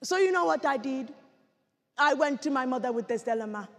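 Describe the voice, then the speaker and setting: Woman playing earnest female Rwandan survivor, high and very animated, theatrical stage monologue in a reverberant hall. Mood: earnest storytelling. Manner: deliberate, theatrical storytelling with dramatic pauses. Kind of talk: competitive dramatic monologue. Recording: echoey hall with long reverb.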